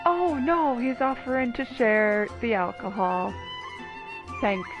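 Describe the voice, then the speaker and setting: Woman gamer, casual reactive commentary, teasing, slow delivery, slightly lo-fi sound, faint music. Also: fairly high pitch, expressive. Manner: teasing, playfully exasperated. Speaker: game streamer. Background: game music.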